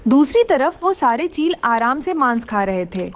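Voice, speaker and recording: Woman narrator for children's tales: high-pitched, very expressive melody, scripted storytelling. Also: unhurried.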